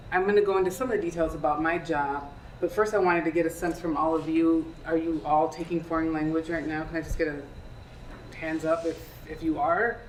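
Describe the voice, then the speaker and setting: A woman in her thirties, born in Peru, speaking on a career panel to students in a conversational way and often asking them questions. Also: low voice. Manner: conversational, asks the audience questions. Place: lecture room. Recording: echoey room, distant mic, faint hiss.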